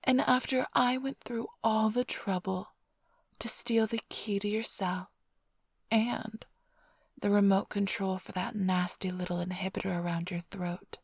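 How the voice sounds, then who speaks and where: A woman playing a flirtatious, smug immortal vampire thief in an ASMR roleplay, teasing the listener in a breathy, half-whispered, languid drawl. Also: medium pitch, steady tone, a little slow, no room sound, clean studio sound. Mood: playful, flirtatious. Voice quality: breathy, often sinking into whisper.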